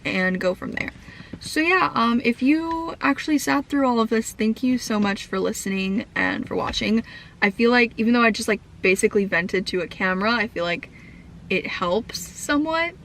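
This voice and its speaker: A young woman talks straight to her camera in a loose, rambling way, with plenty of fillers and restarts. Her voice is croaky from a cough that has not gone away yet. She sounds casual and at home. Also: on the high side, expressive, moderate pace, fairly bright tone, slight background noise. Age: young adult. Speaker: vlogger.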